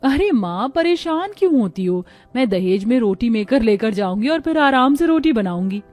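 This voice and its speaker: A woman's voice: on the high side, big pitch swings, moderate pace, darkish tone, faint music behind. A woman voicing a young, breezy, work-shy daughter and new bride in a cartoon.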